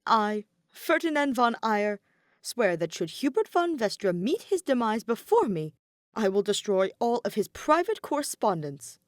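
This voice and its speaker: A woman's voice: on the high side, very animated, a little slow, dry recording, studio quality. A woman voicing an earnest, spirited young nobleman in love, speaking in an emphatic, formal, teasing way for a story.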